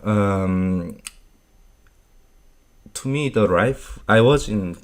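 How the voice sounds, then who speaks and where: Korean-born man, korean native speaker, very low voice, unhurried, hesitant with lots of ums, loose non-native grammar. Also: lively intonation. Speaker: vlog host answering viewer questions. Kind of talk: unscripted Q&A advice talk.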